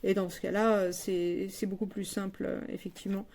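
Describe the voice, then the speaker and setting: A woman's voice: medium pitch, flat delivery, a little fast, darkish tone. A woman giving a casual, unscripted product review in a frank, plain-spoken, colloquial way.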